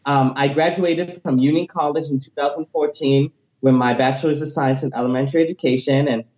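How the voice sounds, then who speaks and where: A man in his late twenties speaks with a high tenor voice, sitting a little above most men. He tells his story with animated, energetic delivery. The recording sounds muffled and lo-fi. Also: fairly quick. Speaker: interview guest, teacher and music minister. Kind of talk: personal testimony interview.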